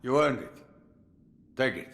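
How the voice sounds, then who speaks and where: A man's voice: mid-range voice, animated, unhurried, vocal fry, heavy background noise. A man voicing a wry, commanding old warlord grandfather in a video game, with an expansive, theatrical delivery. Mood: gruff, grateful. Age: older. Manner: wry, expansive, swinging between bellow and murmur. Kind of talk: scripted video game cutscene acting.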